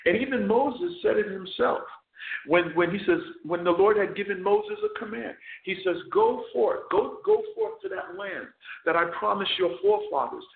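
A man preaching a radio sermon as chaplain and host, hoarse and losing his voice, often stopping to restart or repeat words. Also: sits high, sing-song, moderate pace. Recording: tinny phone line, echoey.

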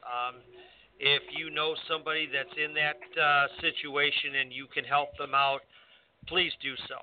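A man's voice: fairly high pitch, steady tone, normal speed. A man co-hosting a wrestling talk show, chatting casually with lots of fillers and restarts. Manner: hesitant, many fillers. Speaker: co-host, wrestling referee. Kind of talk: casual radio chat. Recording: tinny phone-like audio.

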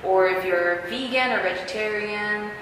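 Young woman guest, relaxed and explanatory, heavy room echo. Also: mid-range voice, unhurried. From Peru, raised in the United States. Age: young adult. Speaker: guest, video blogger. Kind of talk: unscripted interview answers. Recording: very echoey hall, faint noise.

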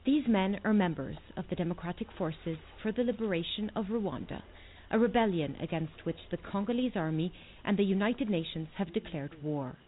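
A woman correspondent reading a scripted news report in a measured, formal way. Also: medium pitch, animated. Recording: tinny phone-like playback.

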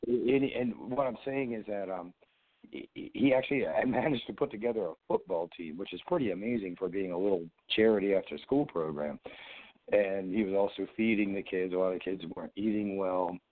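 A man's voice: lowish voice, fairly quick. A man calling in to a talk show with a sore, froggy throat, rambling with many restarts. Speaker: caller, fellow show host. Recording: tinny phone line.

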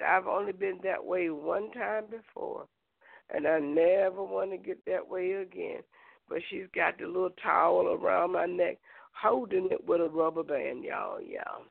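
Hoarse woman on a tinny phone line. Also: lowish voice, big pitch swings, a little slow. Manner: chatty, folksy, talks straight to listeners. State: hoarse from a cold. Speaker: prayer show host. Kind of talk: casual prayer show chat.

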